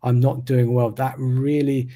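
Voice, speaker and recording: A man, talking slowly and reflectively as a podcast host on a video call. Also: medium pitch. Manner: slow, even, reflective delivery. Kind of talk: casual interview conversation. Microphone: video call mic.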